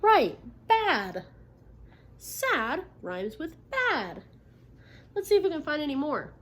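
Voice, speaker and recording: High-voiced woman reading a storybook aloud. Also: very animated, slow pace, fairly bright tone. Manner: instructive, checking in with listeners by questions and affirmations. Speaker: teacher reading a picture book aloud. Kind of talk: interactive children's read-aloud, expressive storybook narration with questions. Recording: noticeable background noise.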